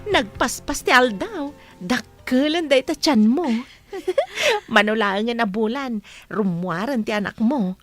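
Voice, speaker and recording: Excitable, very high-pitched woman friend acted. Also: takes it slowly, dry recording, soft background music. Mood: gushing. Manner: gushing, exclamatory. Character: excitable, affectionate female friend of expectant mother. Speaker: voice actor. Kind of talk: dramatised audio story acting.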